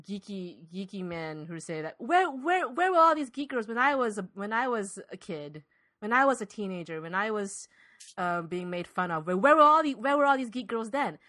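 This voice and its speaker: A woman's voice: medium pitch, very animated, moderate pace, pushed and bright. A woman co-hosting a podcast in casual, opinionated chat, emphatic and circling back over her phrases. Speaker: podcast co-host.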